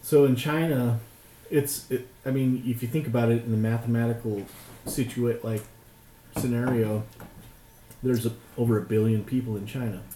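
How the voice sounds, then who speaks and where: A man's voice: medium pitch, fairly even intonation, moderate pace, mellow. A middle-aged American man from rural Utah, chatting casually and choosing his words hesitantly. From rural Utah, United States. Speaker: podcast participant. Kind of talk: casual chat. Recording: roomy echo, clear background noise.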